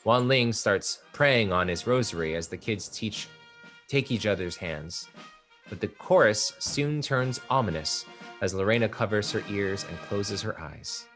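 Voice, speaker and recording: A man narrating the stage directions of a play in a measured table-read style. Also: fairly low pitch, lively intonation, fairly bright tone, soft background music. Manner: measured, descriptive.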